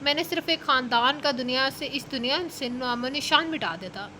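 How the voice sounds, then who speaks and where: A woman voicing a calm, menacing young male mafia boss in a novel read aloud, with an eerily calm, mocking manner. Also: high voice, a little fast, a little hiss or hum. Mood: calm menace.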